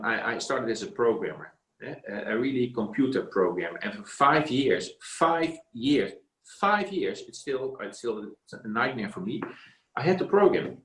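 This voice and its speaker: A man's voice: mid-range voice, a bit bright. A middle-aged man giving emphatic career coaching on a video call, repeating his words. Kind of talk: casual advice on a video call. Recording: roomy echo.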